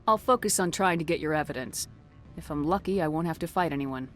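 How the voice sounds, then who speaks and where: An actress playing a composed, assertive military commander in a science fiction game, with a direct, matter-of-fact delivery. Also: female voice, sits low, brisk, soft background music, lightly acted. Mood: composed, firm. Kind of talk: scripted video game dialogue.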